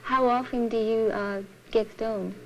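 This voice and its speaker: Female documentary interviewer, fairly high voice, direct neutral questions, faintly noisy 1970s film sound. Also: fairly even intonation, unhurried, muffled recording.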